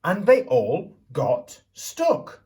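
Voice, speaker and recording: A man reading a picture book aloud to young children as a teacher would, theatrically, pausing to put questions to them. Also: a little high, wildly swooping pitch, dark tone, distant mic. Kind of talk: expressive children's storybook reading with questions to listeners.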